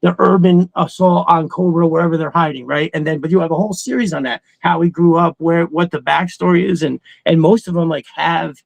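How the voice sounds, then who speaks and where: A man's voice: a little high, fairly even intonation, a little fast, vocal fry, hardly any pauses, no room sound. A man chatting casually and emphatically as a podcast co-host, swearing freely and rambling through his opinions. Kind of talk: casual opinionated fan chat.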